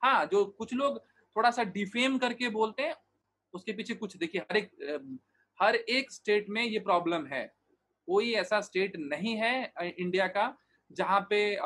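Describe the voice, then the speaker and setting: High voiced guy in an interview, earnest, lots of restarts and english words mixed in. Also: fairly bright tone. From Bihar, India. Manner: earnest, explains at length with many restarts and fillers; mixes English words and phrases into his speech. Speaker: interview guest, digital marketer. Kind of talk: casual interview talk.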